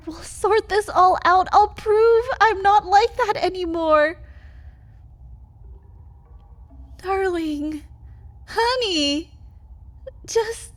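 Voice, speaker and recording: A woman playing a feral, hungry vampire wife in an ASMR roleplay, mocking and manipulative in manner. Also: heavily acted. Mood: tearful pleading. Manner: taunting, sardonic, swings from low menace to pleading.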